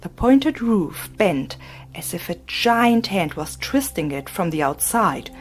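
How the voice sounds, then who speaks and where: Slow, hushed woman narrating an audiobook. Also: lowish voice, very animated. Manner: soft, breathy, hushed. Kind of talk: scripted narration. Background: faint music.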